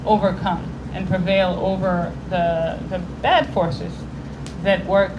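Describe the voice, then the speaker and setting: A woman from Israel giving a public talk, speaking earnestly and often pausing to search for the right words. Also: on the low side, steady tone, dull audio. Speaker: guest speaker. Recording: noisy room recording.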